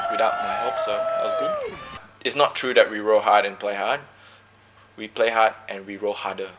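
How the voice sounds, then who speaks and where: A man from Malaysia who talks about his team sport in relaxed, joking interview answers. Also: medium pitch, background noise. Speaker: dragon boat paddler.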